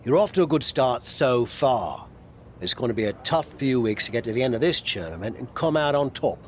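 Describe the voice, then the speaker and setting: A man's voice: medium pitch, animated, a little fast, slight background noise, close to an everyday voice. An actor playing a straight-talking male wrestling mentor giving candid advice in a video game cutscene. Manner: candid, advisory.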